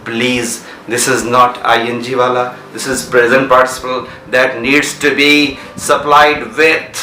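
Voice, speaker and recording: A man's voice: mid-range voice, little pitch movement, takes it slowly, pushed and bright, reverberant, distant mic, slight background noise, rough recording. A man with a Pakistani English accent teaching a grammar lesson, repeating points and checking understanding with questions. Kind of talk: classroom grammar lecture.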